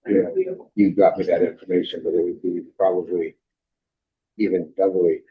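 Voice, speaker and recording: Low, raspy male participant, measured delivery, heard over a phone line. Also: flat delivery. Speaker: meeting participant. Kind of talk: council meeting discussion. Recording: tinny phone-line audio.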